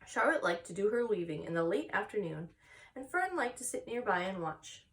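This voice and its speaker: A woman's voice: mid-range voice, sing-song, normal speed, fairly bright tone, recorded from a distance, poor audio. A woman narrating a children's book expressively as a story-time reader, recorded slightly away from the mic.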